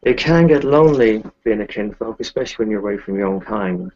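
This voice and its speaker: Low-pitched, weary kinfolk doctor played by a man. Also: expressive, a little fast, darkish tone, highs cut off. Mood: gentle, reassuring. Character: weary, kindly male kinfolk doctor who lost his children. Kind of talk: tabletop roleplay, improvised in character.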